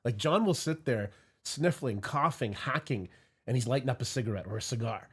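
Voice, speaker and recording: A man's voice: medium pitch, animated, brisk, breathy edge. Congested male host, chatty and rambling, casual livestream delivery. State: congested from a cold. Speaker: cigar review host.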